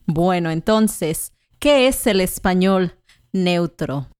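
A Mexican woman co-hosting a podcast, speaking in a measured, clear way for learners and often affirming with soft murmurs. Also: lowish voice, expressive, dry recording, studio quality. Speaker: podcast co-host. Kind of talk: slow, clear conversational chat for learners.

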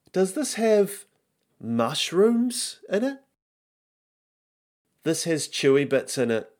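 Man putting on a fussy, high-pitched suspicious child. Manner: whiny, wary questioning. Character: fussy, suspicious child refusing to eat dinner. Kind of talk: acted comic list in a personal story podcast.

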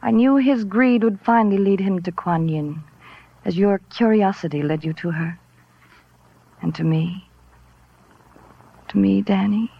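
A woman's voice: medium pitch, a little slow, creaky, long pauses, husky. An actress playing an obsessed, murderous woman professor in a 1940s radio drama, dramatic and intense. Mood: soft, intense. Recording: dull vintage radio recording.

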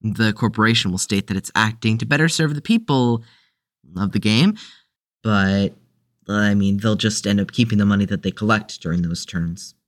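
A man playing a mild-mannered, unsure young newcomer with a halting delivery in an audio drama. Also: fairly low pitch, lightly acted. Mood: explaining.

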